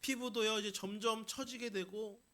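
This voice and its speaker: A male pastor delivers a sermon in a notably high, bright voice. His tone is forceful and emphatic. He repeats key phrases and puts rhetorical questions to his listeners. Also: steady tone, moderate pace. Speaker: pastor preaching. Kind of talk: Sunday sermon to a congregation.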